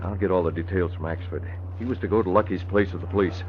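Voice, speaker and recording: An actor playing a composed, resolute crime-fighter, brisk and clipped, in 1940s radio style. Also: male voice, sits low, steady tone, lightly acted. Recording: dull, noisy old radio recording.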